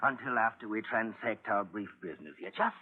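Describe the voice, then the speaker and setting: A man's voice: on the low side, expressive, a little fast, breathy edge. A man acting a genteel drunkard in a 1940s radio drama, with a flowery, pompous, pleading manner. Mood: wheedling. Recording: phone-like 1940s radio recording.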